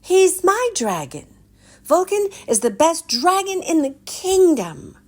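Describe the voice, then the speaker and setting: A woman's voice: very high-pitched, sing-song, slow pace, a little mellow, pitched above the performer's own voice, heavily acted. A woman voicing a feisty red-haired girl in a storybook, proud of her dragon, emphatic and bossy.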